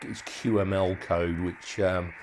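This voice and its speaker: A man's voice: low voice, little pitch movement, slow, darkish tone, a little hiss or hum. A man giving an informal hands-on demonstration as a hobbyist, thinking aloud in a hesitant way and often trailing off. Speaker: hobbyist presenter demonstrating modified radio gear.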